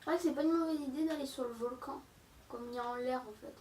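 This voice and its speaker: A woman's voice: high voice, fast, mellow, echoey room, recorded from a distance. A young woman with a slight cold, chatting to her viewers through a game with lots of fillers. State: has a cold, sore throat. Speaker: gaming YouTuber. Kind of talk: casual gameplay commentary.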